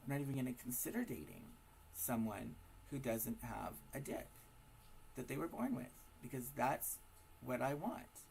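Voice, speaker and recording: Man, raspy, hoarse, gravelly fry, mid-range pitch, emphatic rhetorical monologue. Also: lively intonation, normal speed, darkish tone, a little hiss or hum, poor audio. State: hoarse from smoking and partying. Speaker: vlogger. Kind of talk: casual opinion monologue.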